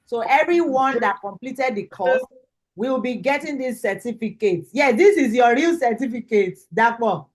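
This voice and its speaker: A Nigerian woman co-hosts an online ceremony in a casual style. Her voice sits a little higher than most women's. She speaks as if on a video call. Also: animated, moderate pace. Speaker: co-host of an online graduation.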